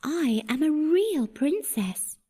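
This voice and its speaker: A delicate young fairy tale princess, voiced by a woman, weary and plaintive. The voice is extremely high and mellow, with lively swings in pitch. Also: slow pace, heavily acted. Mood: pleading. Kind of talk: children's fairy tale character acting.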